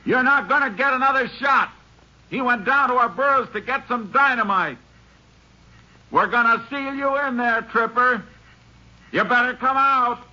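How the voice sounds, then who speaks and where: A man's voice: very high voice, moderate pace, long pauses, sharp tone, exaggerated voice. An actor playing a commanding lawman in a radio western, calling out insistently to an outlaw. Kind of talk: 1950s radio western acting. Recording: muffled old radio recording.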